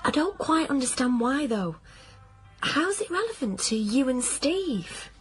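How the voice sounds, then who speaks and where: An actress playing a blunt, sceptical, practical friend, frank and questioning, in naturalistic radio drama. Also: female voice, high-pitched, big pitch swings, unhurried, soft background music.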